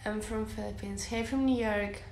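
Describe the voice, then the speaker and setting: A young woman from Russia with a Russian accent, chatting slowly and softly on a phone livestream from her bedroom and answering viewers' comments with lots of "like" and "uh". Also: mid-range voice, fairly even intonation, echoey room, slight background noise. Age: young adult. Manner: soft and giggly, many fillers like "like" and "uh". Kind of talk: casual livestream chat, reading out comments. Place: bedroom, indoors. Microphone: phone held close, lying on a bed.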